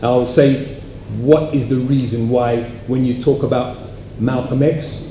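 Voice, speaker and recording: A man putting a rhetorical question from the audience in a preacherly, deliberate way, repeating phrases. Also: mid-range voice, fairly even intonation, warm tone. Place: public event hall. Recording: echoey hall, faint background noise.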